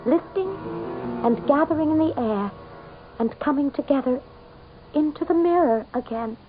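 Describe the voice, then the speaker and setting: An actress playing a frightened, haughty society wife in an old-time radio mystery, stammering and melodramatic. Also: female voice, high-pitched, moderate pace, loud music behind the voice, natural voice. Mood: shaken. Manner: breathy gasps, stammering, melodramatic. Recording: tinny, noisy old radio drama recording.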